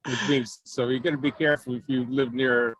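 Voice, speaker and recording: A man's voice: mid-range voice, flat delivery, fairly quick, fairly bright tone, no room sound. A man chatting casually as a club member on a video call. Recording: dull video call audio.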